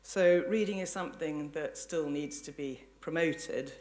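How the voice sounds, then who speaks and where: A woman lecturer speaks in a measured, articulate way. She explains ideas and tells illustrative stories as a professor would to the public. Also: low voice, darkish tone, slight background noise.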